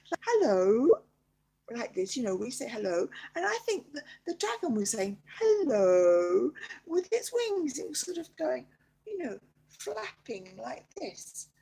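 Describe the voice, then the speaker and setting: A woman improvising a bedtime story in a halting, childlike, theatrical way, with a breathy, husky voice that often repeats itself. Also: high-pitched, a little mellow. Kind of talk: improvised bedtime story, told in character as part of it.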